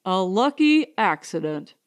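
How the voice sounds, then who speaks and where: A woman voicing a steady, contented male colonist with a measured, plain-spoken manner. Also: mid-range voice. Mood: firm, contented.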